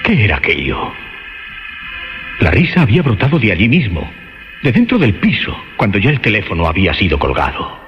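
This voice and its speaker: A man narrates a horror radio drama with theatrical suspense. His lowish voice swings widely in pitch, with emphatic pauses, over prominent music. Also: wildly swooping pitch. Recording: dull lo-fi audio under music.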